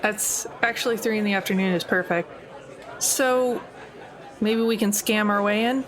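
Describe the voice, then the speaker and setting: A woman's voice: medium pitch, fairly even intonation, normal speed, poor audio. Female tabletop player, mid-range voice, wry and casual improvised delivery, slight group chatter behind. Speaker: player in tabletop roleplaying game. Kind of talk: casual improvised roleplay chat.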